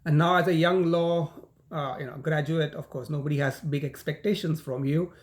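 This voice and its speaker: A man with an Indian accent teaching a university law class, his voice sitting a little higher than most men's. He talks in a loose, chatty way, full of "you know" and false starts, as he walks students through the material. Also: steady tone, normal speed. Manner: conversational, frequent "you know" and "um", repeats words. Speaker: law lecturer. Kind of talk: recorded university lecture.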